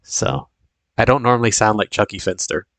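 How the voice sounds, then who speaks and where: A man's voice: lowish voice, moderate pace, fairly bright tone, dry recording. A young man with a sniffly, congested voice from a cold, chatting casually as a live-stream host in a rambling way full of fillers and restarts.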